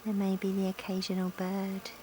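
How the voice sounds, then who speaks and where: A woman leading a guided yoga nidra relaxation in a calm, gentle, soothing manner with long pauses, recorded outdoors in a garden. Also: medium pitch, low-quality recording. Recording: outdoor recording with insect and nature noise. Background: insects, sounds of a sunny garden.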